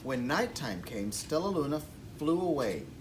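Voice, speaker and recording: A man's voice: medium pitch, very animated, moderate pace, recorded from a distance, noisy background. A man reading a children's storybook aloud as narrator. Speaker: storybook reader.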